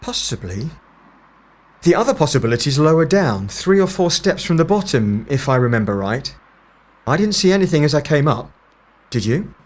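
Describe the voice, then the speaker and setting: A husky male investigator acted by a man in an audio drama. He is stern and sardonic, with clipped phrases and a pitch that moves around a lot. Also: big pitch swings, normal speed. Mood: stern, terse. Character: stern, dry, impatient male otherworldly investigator. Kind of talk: scripted audio drama acting.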